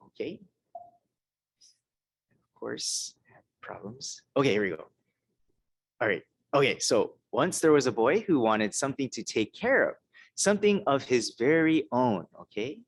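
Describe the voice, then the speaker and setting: A hoarse man, a minister, giving a conversational talk and then reading a children's story, often checking in with his listeners. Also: mid-range voice, expressive, a little slow, no echo. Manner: conversational, keeps checking in with "okay?" and "right?".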